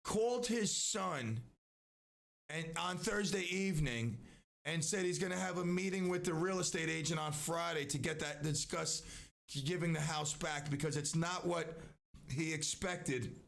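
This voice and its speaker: A man's voice: a little high, a little slow, vocal fry, fairly bright tone. A man hosting a live stream, talking in a casual, rambling way with many restarts and asides.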